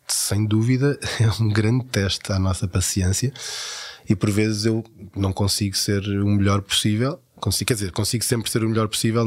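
A man with a European Portuguese accent talking reflectively in an interview, often searching for his words. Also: fairly low pitch. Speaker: actor interviewed about parenting.

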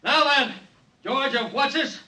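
An actor playing a tough, commanding police captain in a vintage radio drama, barking with authority. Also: male voice, extremely high pitch, measured pace, sharp tone, recorded from a distance, full character voice. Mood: threatening. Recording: echoey, away from the microphone.

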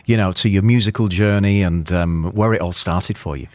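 Low-voiced man hosting chatty radio. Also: moderate pace, a little mellow, no room sound. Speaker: radio show host.

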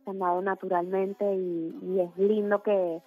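Puerto Rican singer chatting by phone. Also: female voice, mid-range voice, flat delivery, a little slow, narrow, tinny audio. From Puerto Rico. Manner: chatty, many fillers. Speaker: singer guest. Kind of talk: phone interview. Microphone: phone line.

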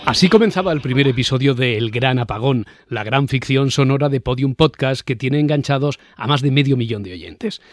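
A man's voice: medium pitch, animated, fairly quick, few pauses, dry recording. A man with a Castilian Spanish accent hosting a podcast in an articulate, scripted radio-presenter style. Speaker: podcast host. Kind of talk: scripted presenting of a discussion show. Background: faint music bed.

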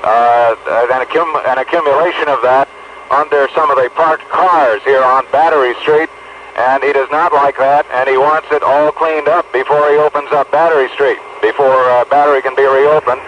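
Man, American, field reporter, halting, muffled line, noisy street background. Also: mid-range voice, fairly even intonation. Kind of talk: live on-scene radio report. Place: outdoors on a city street. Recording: dull, noisy phone news line.

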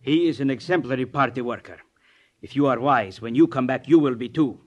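An actor playing a stern, menacing Soviet party boss with commanding, deliberate delivery in a 1950s radio drama. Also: male voice, medium pitch, lo-fi sound, natural voice. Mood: stern, instructing. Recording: vintage 1950s radio recording.

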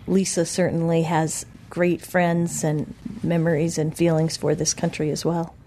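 A woman interviewer asks her questions in a calm, measured way that gently draws out her guest. Her voice sits low and sounds warm and mellow. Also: slight background noise.

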